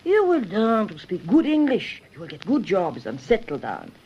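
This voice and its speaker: A woman's voice: medium pitch, wildly swooping pitch, normal speed, lo-fi sound, a little hiss or hum. An actress playing a kindly, motherly immigrant shopkeeper's wife, coaxing and fond in a radio drama. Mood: kindly, encouraging.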